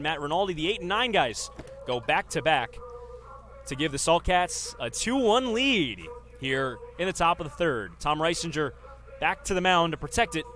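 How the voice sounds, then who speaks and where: A man's voice: fairly high pitch, fairly bright tone, slight background noise. A man in his twenties calling a baseball game live as a radio play-by-play announcer. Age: 20s. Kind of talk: live sports commentary.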